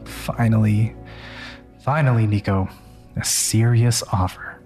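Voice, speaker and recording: Slow, smug crime-family rival. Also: male voice, lowish voice, fairly even intonation, darkish tone, faint music behind. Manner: mocking, drawn-out, contemptuous. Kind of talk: audio drama acting.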